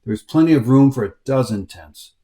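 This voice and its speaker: A middle-aged American man reads his hiking story as a voice-over, his pitch sitting in an ordinary middle range. He narrates plainly and steadily, with a wry, understated humor running through it. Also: animated, a little fast, dark tone, no room sound. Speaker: hiking vlogger. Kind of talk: scripted travel narration.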